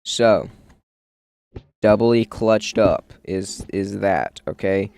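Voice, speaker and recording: A man's voice: fairly low pitch, very slow, vocal fry, darkish tone, smooth, dry recording. A male streamer chatting casually while building in a game, muttering to himself and calling his viewers "bro".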